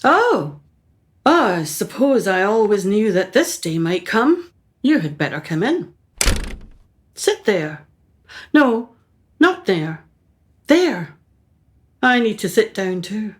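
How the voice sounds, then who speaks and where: A woman's voice: mid-range voice, sing-song, slow, long pauses. A woman acts out a self-absorbed society mother for a radio play. She is imperious and brusque, speaking in short, clipped phrases with upper-class hauteur. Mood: flustered, imperious.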